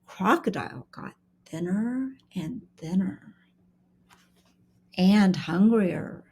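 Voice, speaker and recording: A woman's voice: mid-range voice, creaky, breathy edge. A woman reading a children's storybook aloud expressively, as a school reader does in an interactive session. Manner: expressive, pauses to ask questions.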